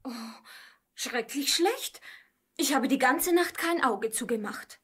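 An actress playing a delicate, polite, weary young princess in a fairy-tale radio play. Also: female voice, sits high, animated, slow pace, fairly bright tone, breathy edge, full character voice. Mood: plaintive.

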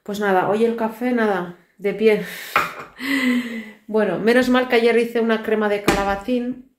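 A woman's voice: mid-range voice, steady tone, measured pace, background noise. A woman in her thirties, a native Basque speaker with a Basque accent, chatting wearily and rambling into her phone camera in a home kitchen. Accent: Basque Spanish. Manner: chatty, rambling, weary asides. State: tired. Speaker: family vlogger. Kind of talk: casual vlog chat. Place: tiled home kitchen, indoors. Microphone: phone camera at arm's length. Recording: echoey tiled kitchen.

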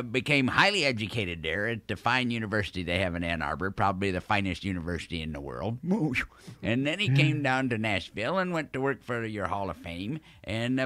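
An old cowboy sidekick, played by a man, spinning folksy comic yarns for radio. His pitch swoops around freely and his tone is bright and forceful, with a dry, close sound. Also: no room sound. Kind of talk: in-character comic radio interview.

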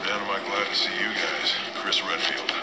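An actor playing an earnest, determined soldier in a video game, speaking in clipped, urgent military style. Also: male voice, on the low side, little pitch movement, moderate pace, natural voice. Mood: grave, measured. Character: earnest, determined male soldier and police officer in action. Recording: game clips under loud music.

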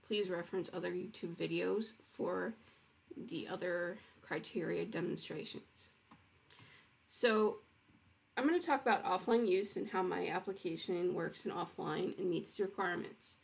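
A woman's voice: on the low side, normal speed, gravelly, husky. A woman walking through her own web project in a casual screen-recorded demo, explaining with frequent ums and restarts.

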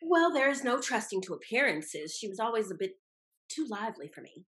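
Woman acting an animated, haughty society schemer. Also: fairly high pitch, a little fast. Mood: catty, alarmed. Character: haughty scheming society lady, coolly catty. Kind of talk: theatrical period comedy acting. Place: room at home on a video call.

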